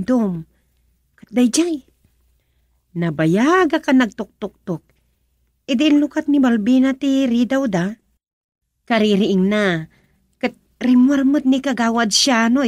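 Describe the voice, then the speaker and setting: A woman's voice: on the high side, expressive, slow pace, pauses often, darkish tone, no room sound. A woman playing an opinionated, chatty village woman who lectures insistently, in a rural radio comedy-drama. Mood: chatty, curious. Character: opinionated village woman gossiping and moralizing about marriage.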